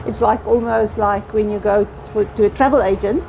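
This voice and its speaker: A woman's voice: medium pitch, steady tone, fairly quick, gravelly, a little mellow, rough recording. A middle-aged South African woman with an Afrikaans accent, whose first language is Afrikaans, chatting loosely as an interview guest and laughing often. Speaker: guest, casting agency founder. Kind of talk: casual interview chat. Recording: faint background noise.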